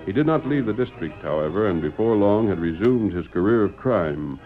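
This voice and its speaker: A man's voice: fairly low pitch, expressive, normal speed, soft background music. A man with an American accent narrating an old-time radio western in a grand, declamatory announcer style. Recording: dull vintage radio recording.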